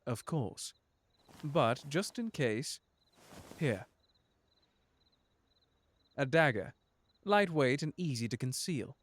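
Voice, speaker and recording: A man's voice: mid-range voice, expressive, slow, long pauses. A man playing a suave, unflappable butler in an ASMR audio drama, speaking formally with dry courtesy and a protective streak. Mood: reassuring. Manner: formal, polite, dryly sardonic. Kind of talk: ASMR audio drama roleplay addressed to the listener.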